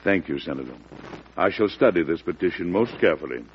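A man acting a weary, firm and principled President in an old-time radio drama, speaking in a measured, formal way. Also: low voice, lively intonation, slightly rough. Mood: formal, composed. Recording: dull, noisy vintage radio recording.

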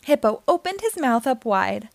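High-pitched, very expressive woman storyteller. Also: moderate pace, vocal fry, dry recording. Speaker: children's storytime host and reader. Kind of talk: expressive scripted storybook read-aloud.

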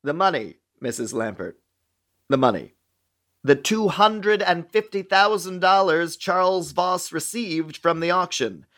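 A man's voice: high-pitched, lively intonation, measured pace, a bit bright. An actor playing a fussy, dry middle-aged American intelligence official in a radio play, chatty and officious in his talk. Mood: insistent. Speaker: actor in audio drama.

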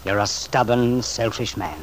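A man's voice: medium pitch, lightly acted. Grave, righteous uncle, acted by a man, slightly noisy recording. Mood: reproachful. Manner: grave, moralizing, deliberate. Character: earnest, righteous middle-aged uncle, reproachful. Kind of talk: old-time radio soap opera acting. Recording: noisy vintage radio drama recording.

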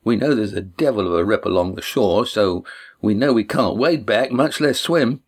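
A man voicing a cheerful young male sailor who tells a tale with rough country grammar. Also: fairly low pitch, moderate pace. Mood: lively telling. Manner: cheerful, lively storytelling.

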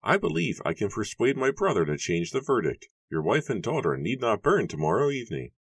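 A greedy schemer in a storybook tale, acted out by a man, pitched well above the narration. He talks softly and slowly, calculating and coldly menacing, in a dry, close recording. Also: very animated, husky, no room sound, heavily acted. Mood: coolly scheming. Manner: slow, soft, calculating. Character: softly menacing greedy man, cold corrupt bribe-taker. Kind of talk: dramatic audiobook character voice.

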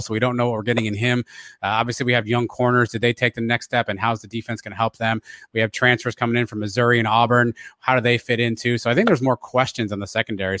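Male sports podcast analyst, American, raspy, brisk delivery, dry close sound. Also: medium pitch, a bit bright, dry recording. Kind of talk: casual sports talk analysis.

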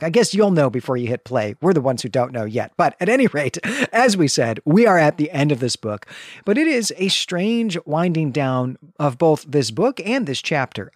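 A man's voice: medium pitch, no room sound, studio quality. A man co-hosting a literary podcast, explaining the book in a thoughtful, casual way with small laughs. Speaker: podcast co-host.

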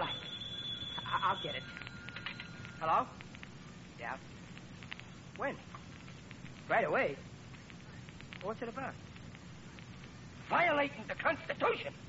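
Radio sitcom dad, 39: high-pitched, flustered, blustering, working-class; noisy vintage recording. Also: male voice, sing-song, a little slow, long pauses, bright, forceful tone, full character voice. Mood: sheepish. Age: middle-aged. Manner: flustered, exasperated, protesting. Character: hapless blustering 39-year-old working-class husband and father. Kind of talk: 1940s radio sitcom acting. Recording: muffled, noisy old radio broadcast recording.

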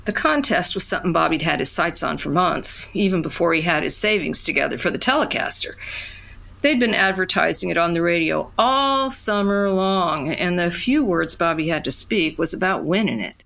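A woman's voice: on the low side, lively intonation, moderate pace, vocal fry, a bit bright. An actress playing a wistful narrator who recalls her childhood in a folksy storytelling style for an audio drama. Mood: fond. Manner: reminiscing, folksy.

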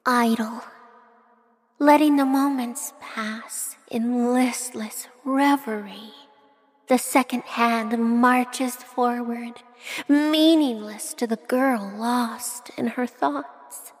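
A dreamy girl poet recites very slowly in an audio drama. Her highish, breathy voice rings with the long reverb of a hall. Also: fairly even intonation. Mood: wistful. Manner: slow, solemn, measured recitation.

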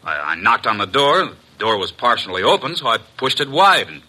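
An actor playing a nervous, defensive airline pilot, edgy and halting, in a 1940s radio drama. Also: male voice, mid-range voice, sing-song, sharp tone, exaggerated voice.

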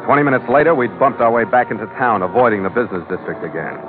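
A man's voice: mid-range voice, expressive, very fast, close to an everyday voice. A male actor playing a tough, sardonic sea captain with an American accent, terse and hardboiled in the style of 1940s radio drama. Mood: brooding narration. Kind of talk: 1940s radio acting, hardboiled first-person narration. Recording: tinny old radio recording, loud music.

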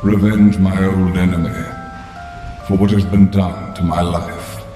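An icy, vengeful male supervillain for a superhero audio story. His low voice is menacing and deliberate, ringing in a long cavernous echo with music playing strongly behind it. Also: little pitch movement. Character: cold, vengeful male supervillain in a freezing suit. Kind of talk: superhero audio drama acting. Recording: long echoing reverb under loud music.

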